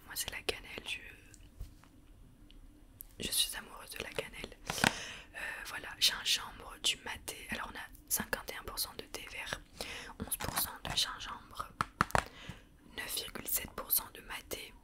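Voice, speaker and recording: Chatty woman in a whisper. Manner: chatty, self-mocking, lots of fillers. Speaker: ASMR vlogger. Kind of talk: whispered ASMR grocery haul.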